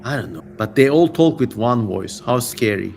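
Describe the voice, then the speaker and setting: A man hosts a casual livestream reading in a middle-pitched voice, breaking into irreverent, joking asides. His delivery is playful and theatrical, and there is clear noise behind him. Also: animated, normal speed, a little mellow. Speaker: host of a live-streamed dramatic reading.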